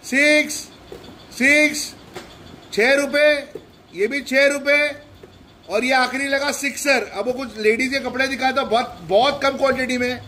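A young man with a very high voice pitches a store sale, holding his phone camera as he walks a big indoor shoe shop. He repeats prices over and over in a flat, unvarying tone. A faint store hum sits behind him. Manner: repetitive rapid-fire price listing. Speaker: shop salesman. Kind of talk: promotional sale walkthrough.

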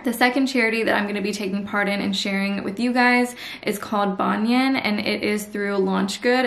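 A woman's voice: medium pitch, vocal fry. A woman in her twenties chatting casually and upbeat to her viewers from a room at home, recorded on a camera mic. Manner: chatty and upbeat, lots of "like" and "you guys". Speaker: lifestyle vlogger. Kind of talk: casual vlog chat to viewers. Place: indoors at home, bedroom and dressing room. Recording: roomy home echo.

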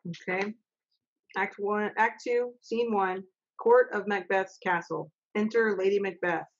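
A woman's voice: mid-range voice, steady tone, measured pace. A middle-aged woman acting as stage manager, plainly reading out script directions over a video call. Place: home office, indoors. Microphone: computer mic on a video call. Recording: dull video-call audio.

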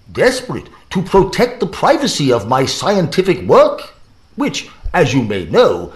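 A man acts a pompous professor in an audio drama. He speaks slowly and formally in a highish voice, exasperated and swooping dramatically.

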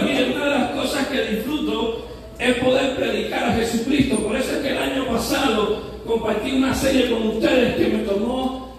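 A pastor preaching a sermon in a church, fervent, with shouted rises and slow drawn-out emphasis. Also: male voice, very high voice, a little hiss or hum. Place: church sanctuary. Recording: echoey church hall from far off.

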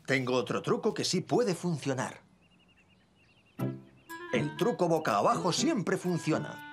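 A cheerful young dad from a children's cartoon, speaking with a Castilian accent. He is playful and reassuring, swinging widely in pitch over clear background music. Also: sing-song, unhurried. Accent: Castilian Spanish. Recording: music behind the voice.

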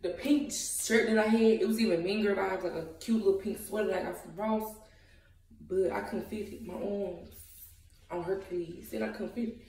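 Young woman, casual vlog, chatty, slangy, phone at arm's length, mid pitch, clear store noise behind. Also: fairly even intonation, moderate pace, darkish tone, poor audio. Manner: chatty, slangy, drops to whispers at times. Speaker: vlogger. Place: indoors in a large retail store. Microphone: phone held at arm's length. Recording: busy store background noise.

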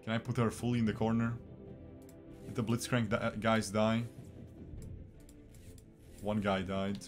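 A man's voice: lowish voice, level tone, a little slow, faint music behind. A young man from Bosnia, raised in Switzerland, chatting to his viewers as he streams a strategy game from a small room at his desk mic. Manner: chatty, talks to his viewers, repeats himself. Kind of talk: live gameplay commentary.

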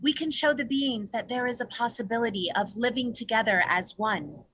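Female orca leader woman actor: forceful, higher voice, earnest and solemn, declaiming hope in a play reading. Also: normal speed, breathy edge. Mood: earnest, urgent. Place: home room, video call.

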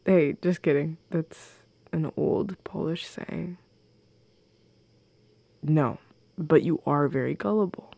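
Soft creaky-voiced teasing goth girlfriend, played by a woman. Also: deep voice, slow pace, pauses often, dry recording, exaggerated voice. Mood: playful. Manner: teasing, coy, giggly. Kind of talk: intimate audio roleplay addressed to the listener.

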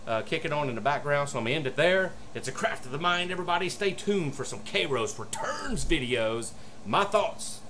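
A man in his forties chatting casually to a webcam as a vlogger, enthusiastic and rambling, with halting pauses. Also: on the high side, expressive, bright, forceful tone. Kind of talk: casual excited unboxing vlog chat. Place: small room at home, indoors. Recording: noisy room with air conditioner hum. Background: air conditioner hum.

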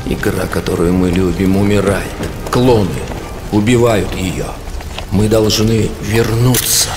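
A man playing a grave, inspirational mentor in a dubbed animated film, speaking solemnly and deliberately. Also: lowish voice, background noise, natural voice. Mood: solemn, rousing. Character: grave male mentor rallying fallen football heroes. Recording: very loud music behind.

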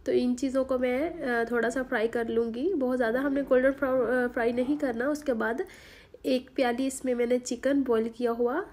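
A hoarse woman talks through a home recipe in a homely, chatty way. Her voice sits somewhat high but stays flat and mellow. A faint hiss runs under the voice-over. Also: normal speed. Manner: homely, chatty instruction with many fillers. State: hoarse from a sore throat. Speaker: cooking channel host. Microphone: voice-over recorded separately.

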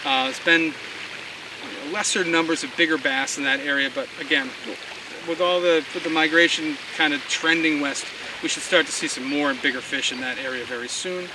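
A man hosts a fishing forecast outdoors by a harbor. His voice runs a little high and relaxed, full of casual fillers. The mic sits well back, and outdoor noise comes through loud around him. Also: normal speed, distant mic.

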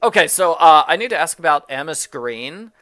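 A man's voice: a little high, animated, normal speed, pushed and bright, dry recording. A man giving casual, jokey game walkthrough commentary, musing aloud as he plays.

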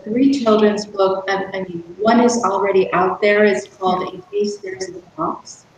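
Echoey middle-aged woman, halting author. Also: medium pitch, lively intonation, slight background noise. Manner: halting, thoughtful, many restarts. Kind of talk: casual interview on a video call. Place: room indoors. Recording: very echoey room.

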